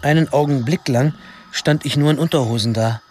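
A man's voice: fairly high pitch, a little fast, a little mellow, a little hiss or hum, natural voice. An actor playing a young man candidly narrating his own story in a German radio drama. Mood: reflective. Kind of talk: scripted first-person radio drama narration. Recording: clear music under narration.

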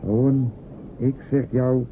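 A man's voice: mid-range voice, level tone, a little slow, a little hiss or hum, close to an everyday voice. An actor playing a proud, pompous man who barks orders at a frightened helper in a radio drama. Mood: commanding. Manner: commanding, pompous, barking orders. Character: proud blustering coastal man giving orders. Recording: tinny lo-fi old radio recording.

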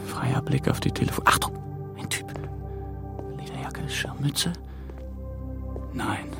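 A man's voice: medium pitch, gravelly. A man playing a young male EU intern on the run, talking in tense, often whispered asides in a radio crime drama. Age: young adult.

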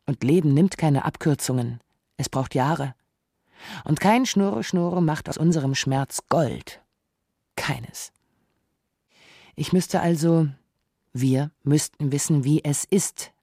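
A narrator delivering a scripted literary radio essay in a measured, reflective manner. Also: medium pitch, warm tone, no echo, studio quality.

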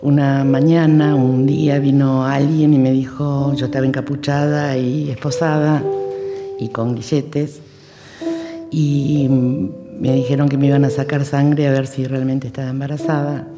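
A woman gives halting testimony as a survivor, with very flat intonation. The audio is reverberant and has music behind it. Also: rumbling low.

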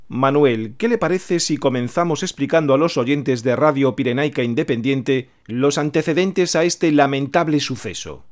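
A man's voice: mid-range voice, normal speed, few pauses, lightly acted. A man playing a grave exile radio newsreader. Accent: Spanish. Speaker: clandestine radio announcer. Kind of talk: radio drama acting, newsreader delivery.

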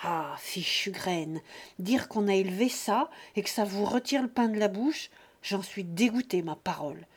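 A man's voice: high-pitched, lively intonation, normal speed, husky, exaggerated voice. A woman reading aloud and voicing an old, domineering peasant father who roars threats at his children. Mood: bitter. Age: older. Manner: roaring, threatening.

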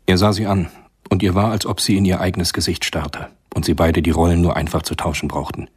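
Brief: male narrator for a radio thriller, very low register, husky, literary delivery. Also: flat delivery, a little fast, a little mellow.

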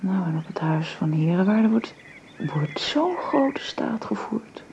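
A woman playing a newlywed bride in an intimate radio drama, murmuring softly and breathily, a little hesitant. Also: mid-range voice, big pitch swings, a little slow. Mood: curious, probing. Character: dreamy, curious newlywed bride on honeymoon. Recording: muffled, hissy old radio recording.

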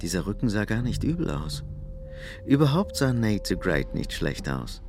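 Low-voiced male narrator over music. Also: very animated, moderate pace. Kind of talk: scripted literary audio drama narration. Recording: music bed behind the voice.

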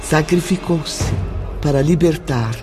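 A man's voice: a little high, slow pace. A man narrating a radio drama in a dramatic, scripted style. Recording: loud dramatic music underneath.

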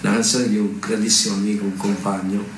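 A man's voice: fairly low pitch, flat delivery, a little fast, noisy background. A man introducing a song in plain spoken words, as a presenter at a recital. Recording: echoey, distant mic, music behind. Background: plucked guitar.

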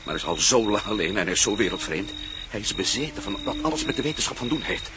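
A decisive male adventurer from a radio play, speaking quickly with lively, swinging pitch. He lays out danger emphatically, as one who gives the orders. The old drama recording is clearly noisy. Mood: grave, explaining.

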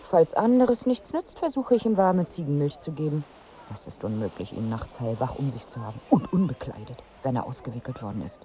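An actress in a radio drama playing a wry, exasperated wife on holiday, dry and bossy in manner. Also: female voice, a bass voice, lively intonation, brisk, dark tone, a little hiss or hum. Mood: dry, matter-of-fact. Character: wry, exasperated married woman on holiday seeking pregnancy.

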